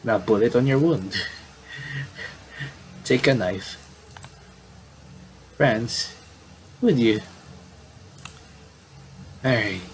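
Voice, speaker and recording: A man doing casual game commentary, reading on-screen dialogue aloud and adding halting asides. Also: medium pitch, a little hiss or hum. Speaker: gamer doing playthrough commentary.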